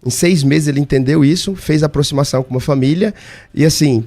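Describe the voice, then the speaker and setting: Fast-talking male pastor, slightly high. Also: warm tone. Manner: storytelling, quick and enthusiastic. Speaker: guest pastor. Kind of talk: casual radio conversation.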